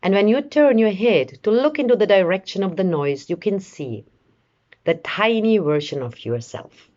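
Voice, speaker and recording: A woman leading a guided meditation in a soothing, measured way, with long pauses between short phrases. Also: medium pitch, lively intonation, a little mellow, lo-fi sound. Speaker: meditation guide, life coach.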